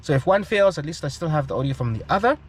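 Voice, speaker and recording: A man's voice: fairly high pitch, animated, rapid-fire. A man with a South African accent explains gear in an informal tutorial, talking in a hesitant, explanatory way and often checking in as he goes. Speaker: aviation channel host.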